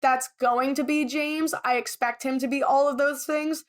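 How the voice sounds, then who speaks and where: A woman's voice: sits high, steady tone, normal speed. A woman co-hosting a podcast, chatting casually and giving her opinions freely. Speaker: podcast co-host. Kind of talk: casual chat, reality TV recap.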